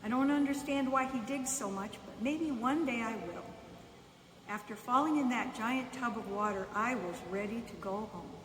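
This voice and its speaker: A woman reading a children's bedtime story aloud in the first person, unhurried, pausing between short phrases. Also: fairly high pitch. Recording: echoey reverberant room, distant mic, faint noise.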